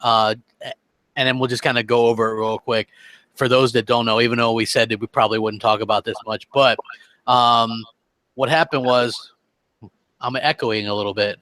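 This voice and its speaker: A man with a mid-pitched voice co-hosts a gaming talk show in a close, dry recording. He talks casually and loosely, rambling and breaking into his own sentences as he explains himself. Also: steady tone, moderate pace, pauses often, sharp tone, no echo, poor audio. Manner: loose, rambling, self-interrupting. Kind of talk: casual, off-the-cuff chat.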